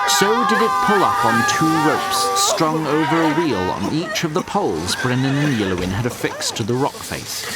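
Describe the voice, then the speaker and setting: A man's voice: on the high side, heavy background noise. A man narrating a scripted fantasy audio drama in a storyteller's tone with wry asides. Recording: loud music behind the voice.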